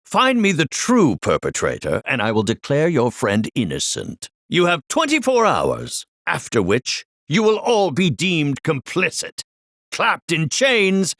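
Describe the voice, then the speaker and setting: A vain, brawny park director from a video game, a theatrical showman to the core. His pitch swoops wildly from low to high and sits fairly high for a man. He takes his time over each flowery, formal phrase. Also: fairly bright tone, dry recording, clean studio sound, exaggerated voice. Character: flamboyant, vain, muscle-bound male amusement park director. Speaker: video game character voice actor.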